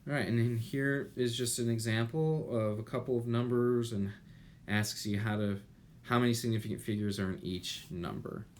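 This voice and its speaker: A man in his thirties giving an explanatory lecture as an instructor, deliberate and pausing, with rhetorical questions. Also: mid-range voice. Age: 30s. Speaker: chemistry instructor. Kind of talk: explanatory lecture over slides. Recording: noticeable steady background noise.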